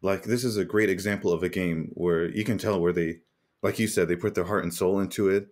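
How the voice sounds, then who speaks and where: A man with a cold co-hosts a casual gaming podcast, his voice sitting low and deepened by a sore throat. He talks in a laid-back, easygoing way. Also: fairly even intonation, fairly quick. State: has a cold, voice deeper than usual. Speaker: podcast co-host.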